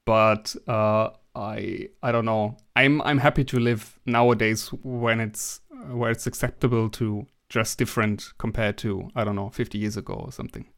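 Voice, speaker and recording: German-accented man, smooth hesitant speech. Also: on the low side, steady tone, moderate pace, hardly any pauses. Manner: hesitant, many restarts and fillers. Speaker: guest. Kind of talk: casual interview conversation.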